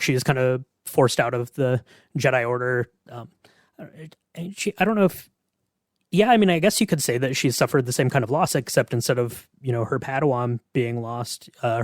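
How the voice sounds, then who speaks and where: A man's voice: medium pitch, no room sound, clean studio sound. A man hosting a podcast in a casual discussion, speaking conversationally. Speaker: podcast host.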